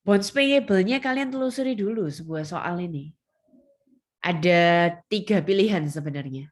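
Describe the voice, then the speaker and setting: A woman's voice: on the low side, expressive, moderate pace. A woman teaches a class step by step. She is instructive and leans on key terms. She often poses a question and then answers it herself. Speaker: accounting lecturer. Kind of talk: step-by-step lecture to students.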